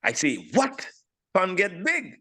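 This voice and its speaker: A man in his sixties with a St. Lucian accent, telling his story casually as an interview guest, animated and repeating phrases for emphasis. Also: high voice, slow pace, slightly rough. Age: 60s. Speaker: interview guest, steel pan player.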